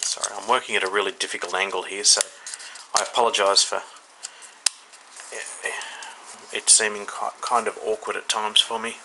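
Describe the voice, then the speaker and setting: A man with an Australian accent giving a casual, unscripted hands-on product review, haltingly thinking aloud. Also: lowish voice, little pitch movement. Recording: faint background noise.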